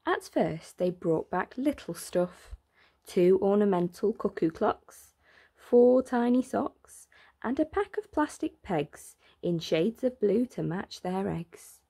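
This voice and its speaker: Slow-paced woman reading a children's story. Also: mid-range voice, animated, vocal fry, mellow, breathy edge. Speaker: storyteller reading a children's picture book aloud. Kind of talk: expressive read-aloud of a rhyming bedtime story.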